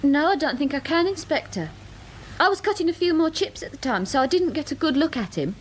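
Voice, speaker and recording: A chip shop assistant in a radio play. Her voice is high and very lively in pitch, her talk plain, practical and comforting. Faint noise sits behind her. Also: a little fast. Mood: matter-of-fact. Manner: colloquial, practical. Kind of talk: radio drama dialogue.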